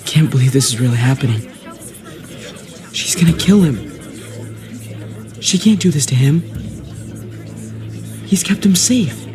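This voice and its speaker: Worried male survivor, urgent, lively high pitch, game drama, faint music. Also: measured pace, pauses often. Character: anxious, loyal male companion in a survivor drama. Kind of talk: dramatic video game acting.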